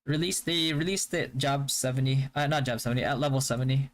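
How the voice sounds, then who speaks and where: A young man from the Philippines whose first language is Hiligaynon, chatting casually on a live stream and answering viewer questions in a relaxed, stop-start way. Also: mid-range voice, fairly quick. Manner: relaxed, stop-start, with frequent fillers and restarts. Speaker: game streamer.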